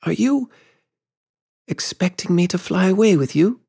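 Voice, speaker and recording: A man voicing a gentle, guilty grown woman who speaks in a faltering, apologetic way, in a storybook reading. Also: high voice, lively intonation, measured pace, husky, pitched above the performer's own voice, full character voice. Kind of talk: storybook character voice.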